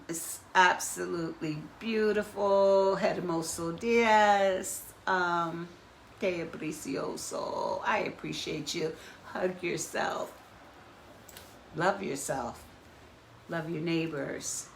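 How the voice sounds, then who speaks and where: Therapist lady on a live feed, slow n calm, bit stuffy from asthma. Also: on the low side, vocal fry, slight background noise. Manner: gentle, reassuring, guides breathing exercises. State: slightly congested from asthma. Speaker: therapist and host of a live talk. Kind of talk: slow, caring live-stream talk. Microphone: phone or webcam live feed.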